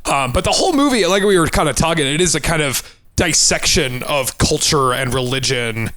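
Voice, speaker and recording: A man's voice: fairly high pitch, lively intonation, brisk, sharp tone, no room sound. A man co-hosting a podcast, discussing things in a loose, informal way. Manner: informal, loose, occasionally profane. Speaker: podcast co-host. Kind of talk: casual film discussion.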